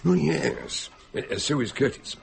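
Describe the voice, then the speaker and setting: An actor playing an elderly, eccentric detective doctor in a radio drama, genial and theatrical with a gravelly, husky voice. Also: male voice, mid-range voice, animated, a little slow, slight background noise. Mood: genial, chatty. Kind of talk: radio drama acting and narration.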